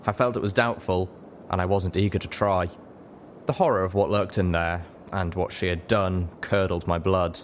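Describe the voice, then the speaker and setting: A man's voice: low voice, fairly even intonation, normal speed, slight background noise. A man reading first-person fiction aloud as scripted audiobook narration. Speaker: audiobook reader.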